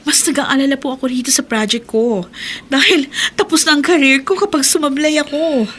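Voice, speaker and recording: A woman's voice: high-pitched, fairly quick, a bit bright. A Filipino woman acting in a radio drama as a curt, career-driven wife who defers to her boss. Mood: flustered, indifferent.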